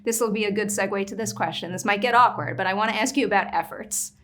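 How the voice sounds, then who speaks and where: A woman's voice: medium pitch, quick pace, a bit bright, slight background noise. A woman working as an entertainment interviewer, asking questions in a friendly, encouraging, conversational way.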